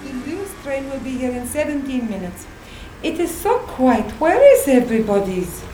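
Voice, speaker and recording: A woman's voice: high voice, lively intonation, normal speed. A woman playing a naive but vengeful, immensely strong female character in a drama, speaking in stilted, literal phrases. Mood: puzzled. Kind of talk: acted dramatic sketch. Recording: echoey room with faint background noise.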